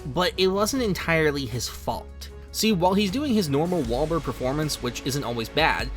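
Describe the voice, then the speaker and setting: Lively, higher-pitched man narrating a video essay. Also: normal speed. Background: music under the voice.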